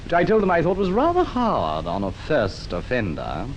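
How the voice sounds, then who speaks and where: A man's voice: huge pitch swings, normal speed. An actor playing a cocky, charming conman officer in an old radio drama, talking in a breezy, boastful way. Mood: breezy reminiscing. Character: cocky, charming male conman officer recalling his swindles. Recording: old radio recording, faint noisy rumble.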